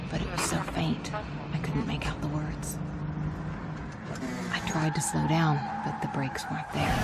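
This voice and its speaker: A woman's voice: low-pitched, gravelly, very noisy. An actress playing a hardened, world-weary woman who survived a zombie outbreak, narrating a game cutscene in a brooding, terse way. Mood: cold, brooding. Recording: loud music over the voice.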